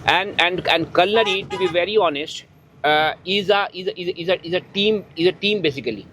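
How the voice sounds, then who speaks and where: A man with an Indian accent speaks in a fairly high voice during an unscripted interview. He repeats words and phrases as he builds his answers. There is faint noise behind him. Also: a little fast, pushed and bright. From India, Bihar. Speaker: chef, interviewee. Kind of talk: unscripted interview talk mixing English and Hindi.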